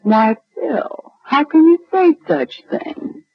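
An actor playing a pleading, innocent-acting young dame in a 1940s radio drama, with a husky, breathy voice. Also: male voice, very high voice, expressive, takes it slowly, no echo, exaggerated voice. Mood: pleading, wounded. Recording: muffled old radio recording.

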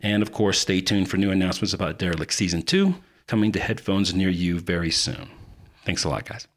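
A man's voice: low voice, expressive, a little fast. A man hosting a podcast, reading announcements in an informal, conversational way. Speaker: podcast host. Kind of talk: casual scripted announcements.

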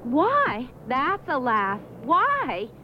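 Defiant young woman, high voice, slow deliberate delivery, suspicious, muffled radio drama. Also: huge pitch swings, full character voice. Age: young adult. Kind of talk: 1970s radio drama acting. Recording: muffled old radio recording, clear noise.

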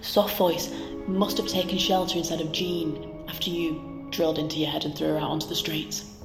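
Echoing, low, manipulative female inner voice. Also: level tone. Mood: mock agreement. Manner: mock-polite, coaxing, deliberate. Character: manipulative female inner voice, smooth, flattering, dark and sly. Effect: reverb. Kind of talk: scripted audio drama acting. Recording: echoey reverberant hall, music behind.

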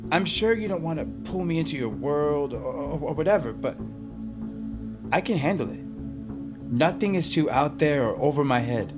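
Animated, chummy fearless male coworker. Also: a little high. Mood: earnest. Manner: chummy, colloquial, earnest. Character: casual, fearless coworker confiding a childhood story. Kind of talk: audio drama dialogue, storytelling. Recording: music bed under voice.

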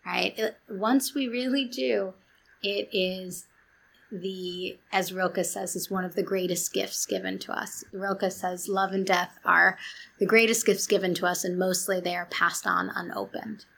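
A woman speaking as a guest therapist in an interview, calm-voiced and earnest, often restarting and quoting books. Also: medium pitch, a little slow, creaky, slightly rough. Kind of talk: reflective interview talk.

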